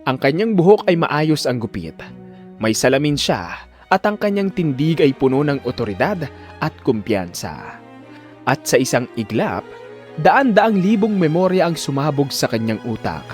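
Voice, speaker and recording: Animated male dramatic story narrator. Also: fairly high pitch, moderate pace. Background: music.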